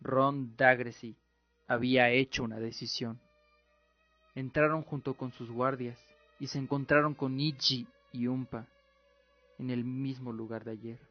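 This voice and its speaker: A man's voice: mid-range voice, steady tone, measured pace, dry recording. A man reading dramatic scripted narration as the narrator of a radio drama. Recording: muffled audio with music. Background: faint music.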